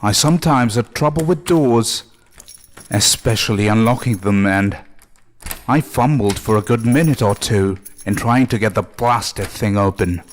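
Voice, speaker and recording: A man's voice: on the low side, unhurried, a little hiss or hum. A man reading a scripted first-person horror story as narrator, with music behind him.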